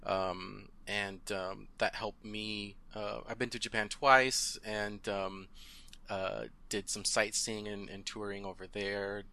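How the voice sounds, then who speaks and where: Gravelly, bright-toned man in his thirties. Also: on the low side, flat delivery, takes it slowly. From Southern California. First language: Spanish. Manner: hesitant, many fillers, laughs often. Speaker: podcast guest. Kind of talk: casual interview chat.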